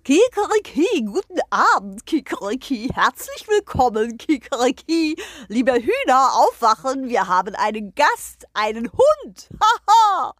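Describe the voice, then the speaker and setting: Woman playing a proud, crowing rooster, lively and high. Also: no room sound, studio quality. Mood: proud, excited. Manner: crowing, cackling, showy delivery with drawn-out punchlines. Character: proud, boisterous rooster who loves telling jokes. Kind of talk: children's storytelling.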